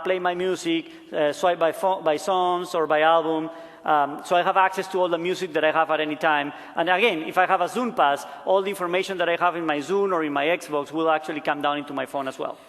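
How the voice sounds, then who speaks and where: High-voiced Peruvian man in a reverberant hall. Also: fairly even intonation, brisk, a bit bright. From Peru. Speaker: product demonstrator. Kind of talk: live product demo presentation. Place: large conference hall stage. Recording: echoey large conference hall.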